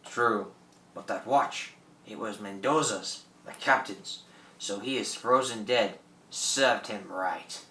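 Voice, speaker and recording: Radio drama: proud, fierce French pirate, theatrical broken English, forceful delivery, recorded from a distance. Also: male voice, on the low side, takes it slowly, reverberant. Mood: menacing, proud. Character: fierce, proud French pirate thawed from fifty years frozen. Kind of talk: amateur radio drama acting.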